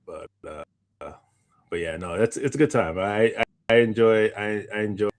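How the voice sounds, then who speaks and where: A man's voice: lowish voice, normal speed. A man co-hosting a podcast, chatting casually in informal, slangy speech. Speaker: podcast co-host. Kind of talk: casual chat.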